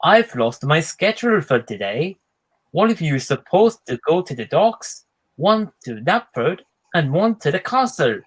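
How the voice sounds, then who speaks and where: A man's voice: a little high, big pitch swings, a little slow, breathy edge, exaggerated voice. A man voicing a confused, apologetic station master in a children's storybook.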